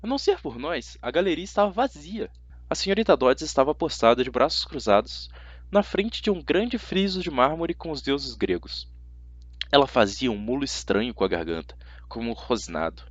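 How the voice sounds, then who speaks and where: A man's voice: medium pitch, expressive, normal speed, breathy edge, no room sound, muffled recording. A man with a Brazilian accent narrating a story dramatically in the first person, as an amateur audiobook reader.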